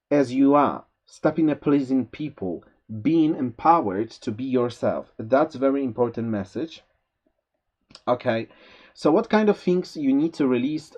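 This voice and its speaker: A man with a Polish accent reading a tarot forecast, giving gentle spiritual advice with many fillers and restarts. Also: mid-range voice. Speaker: tarot reader. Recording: slightly dull lo-fi sound.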